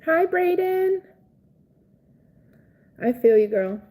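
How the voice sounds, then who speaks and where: A young woman from Cuba, raised in Florida, chatting casually on a live stream, greeting viewers by name and reacting excitedly. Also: extremely high pitch, extremely slow. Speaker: livestream host.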